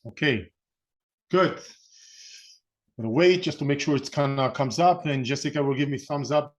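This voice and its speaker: A middle-aged man with an Israeli accent presents an informal webinar as its host, in a halting, rambling way, often rephrasing mid-sentence. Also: medium pitch. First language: Hebrew. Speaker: webinar host and real estate investment coach.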